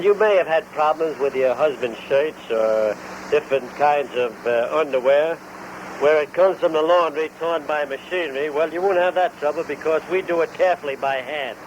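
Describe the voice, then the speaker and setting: A man working as a radio announcer in a vintage broadcasting style. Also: fairly high pitch. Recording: dull, faintly noisy old radio recording.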